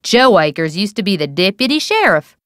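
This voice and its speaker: A small-town bait shop keeper in a video game. She has a rural American accent and a smooth, clear voice, recorded dry. Her delivery is folksy and gossipy, full of wry, opinionated drawl. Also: mid-range voice, sing-song, a little fast, a bit bright, dry recording. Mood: chatty. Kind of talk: video game character dialogue.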